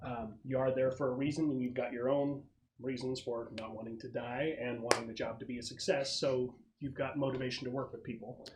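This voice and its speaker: A man serves as game master for a casual tabletop session. He explains things thoughtfully in a medium-pitched voice, often pausing mid-thought. Faint noise sits behind him. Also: steady tone, low-quality recording. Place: casual online or home gaming session.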